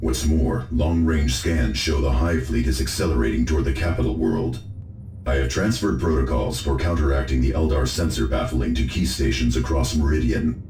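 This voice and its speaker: A man playing a solemn, learned military adviser in a sci-fi game, giving measured, formal briefings. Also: rumbling low, a little mellow, a little hiss or hum. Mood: grave. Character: solemn, learned space marine officer advising his commander. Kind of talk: science fiction video game voice acting. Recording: echoing voice, faint music behind.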